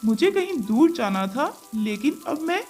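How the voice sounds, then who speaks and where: A woman's voice: high-pitched, big pitch swings, a little slow, darkish tone. A woman voicing a polite male bird traveller who pleads courteously for shelter in a children's cartoon story. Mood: polite pleading.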